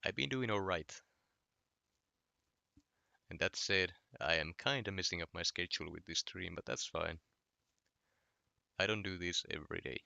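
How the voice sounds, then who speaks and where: A man's voice: low-pitched, normal speed, vocal fry, pauses often, dry recording, highs cut off. A young man from Finland with a Finnish accent, chatting casually on a late-night gaming stream while answering his chat. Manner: relaxed, reading chat aloud and answering.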